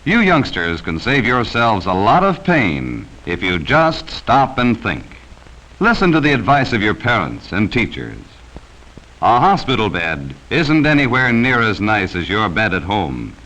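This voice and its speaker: A man's voice: on the low side, bright, forceful tone, rough recording. An American man narrating a 1940s educational safety film from a script, speaking sternly and cautioning children directly, on a vintage film soundtrack.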